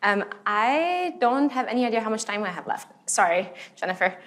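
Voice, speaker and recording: A woman's voice: fairly high pitch, expressive, moderate pace, fairly bright tone. Woman moderating a medical panel. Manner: polite, thanks speakers with "ma'am".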